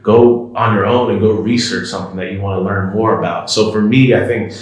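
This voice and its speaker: Man, 30s, from the US, relaxed reflective guest, deep voice, echoey. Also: a little fast. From United States. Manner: relaxed, reflective, advice-giving. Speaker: podcast guest, professional baseball player. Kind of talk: casual interview chat.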